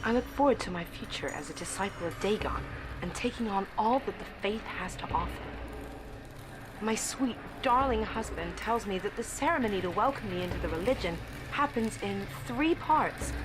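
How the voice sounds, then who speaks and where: An actress playing a besotted young bride drawn into a cult, delivering an intense, breathy diary monologue in an audio drama. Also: female voice, mid-range voice, expressive, moderate pace, background noise, close to an everyday voice. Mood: fervent anticipation.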